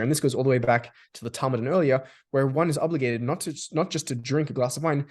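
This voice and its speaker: A man from Australia speaking as a guest in a reflective discussion, often repeating words as he builds long explanations. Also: medium pitch. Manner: thoughtful, restarts and repeats words while building long sentences.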